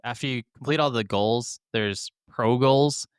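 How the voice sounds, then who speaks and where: A man with a clear, smooth voice at an average pitch, recorded very dry. He talks like a podcast co-host in loose, casual conversation about gaming, with some swearing thrown in. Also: a little slow, no room sound. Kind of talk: casual chat about video games.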